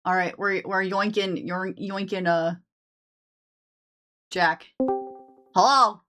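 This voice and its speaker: A woman's voice: medium pitch, steady tone, slow pace, sharp tone, faint music behind. Woman streamer, playful, giggly, chatting casual, mid voice. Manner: playful, laughs easily, short backchannels. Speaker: streamer host.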